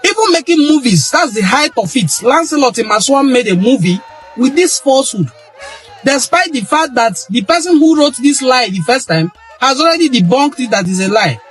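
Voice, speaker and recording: A man's voice: very high voice, very animated, normal speed, soft background music. A middle-aged Nigerian man giving an impassioned monologue on history, emphatic and repeating phrases for stress. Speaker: cultural history commentator.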